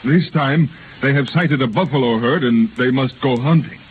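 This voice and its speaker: A solemn young messenger voiced by a male actor speaks in stilted, foreboding oratory from 1930s radio drama. His pitch is middling. The recording is tinny, with plenty of noise. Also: normal speed, lightly acted. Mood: solemn, warning.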